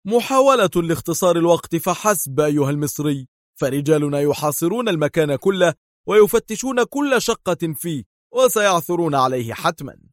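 A man voicing a stern, angry armed intelligence agent in a storybook thriller, with a sharp, agitated delivery. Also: fairly high pitch, lively intonation, brisk, no echo, studio quality, pitched above the performer's own voice, natural voice. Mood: stern, impatient.

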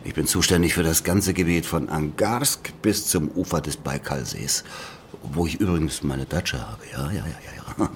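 An actor playing a wry, grimly resigned Soviet regional official in a German radio drama, with ponderous, theatrical phrasing. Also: male voice, extremely low pitch, moderate pace, slight background noise. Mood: smug, evasive.

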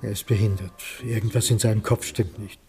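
An actor playing a wary, reluctant rural farmhand who answers curtly in a crime radio play. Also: male voice, on the low side, steady tone, brisk, music in the background, lightly acted. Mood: guarded. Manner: guarded, curt, reluctant.

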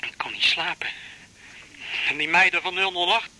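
An actor playing a pushy, needy nighttime phone caller posing as an army major, erratic, brash and pleading, in a Dutch radio drama. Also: male voice, high-pitched, moderate pace, pushed and bright, a little hiss or hum, poor audio.

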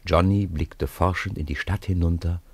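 A man narrating a 1950s radio play in a calm, measured storytelling manner. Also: low-pitched, husky, no echo.

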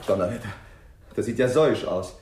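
An actor playing an earnest, observant junior police inspector in a 1960s German radio crime drama. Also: male voice, on the low side, a little fast, a little mellow, close to an everyday voice. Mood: astonished. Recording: echoey, distant from microphone.

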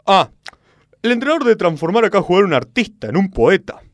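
Guy as eccentric argentine football president, grandiose, pitch all over the place, dry close sound, radio comedy. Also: very animated, no room sound. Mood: pompous, poetic. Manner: pompous, halting then grandiloquent. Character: pompous, eccentric football club president, lover of fine words. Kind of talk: radio sketch comedy acting.

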